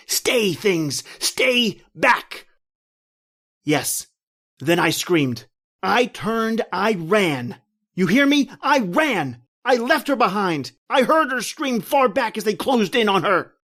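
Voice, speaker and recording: A man's voice: sits high, sing-song, takes it slowly, a bit bright, voice pushed up, full character voice. A man playing a guilt-ridden, terrified doomed man, declaiming urgently and melodramatically in old-time radio horror style. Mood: horrified, defiant.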